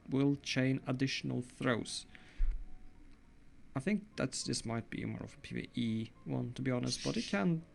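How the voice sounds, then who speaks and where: A man's voice: mid-range voice, vocal fry, a little hiss or hum. A young man with a Norwegian accent giving chatty gameplay commentary as host of his gaming channel, often correcting himself mid-sentence. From Norway. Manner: chatty, walks through game loadout with frequent self-corrections.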